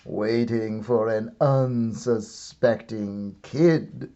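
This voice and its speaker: A man reading a children's story aloud as a teacher would, with theatrical comic timing and wry pauses. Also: mid-range voice, fairly even intonation, mellow, lo-fi sound. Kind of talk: expressive storybook read-aloud.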